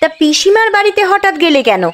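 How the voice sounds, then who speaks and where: A woman voicing a worried, caring young cartoon housewife with a sharp tongue and a questioning manner. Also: extremely high pitch, very animated, fast, music in the background. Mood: questioning, teasing. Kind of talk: cartoon voice acting.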